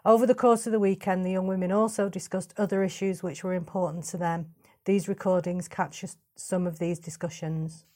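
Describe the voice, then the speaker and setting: A woman giving a measured, formal scripted narration as the podcast narrator. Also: on the low side, steady tone, a little mellow.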